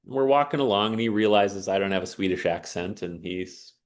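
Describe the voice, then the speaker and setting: A man's voice: deep voice, fairly quick. A man giving an earnest, emphatic inspirational talk and telling a personal story. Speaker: speaker on sauna and resilience.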